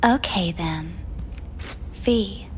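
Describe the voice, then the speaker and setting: A woman playing a soft, probing, hypnotic pleasure-doll companion in a video game, speaking intimately and often in a whisper. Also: medium pitch, very slow, slight background noise.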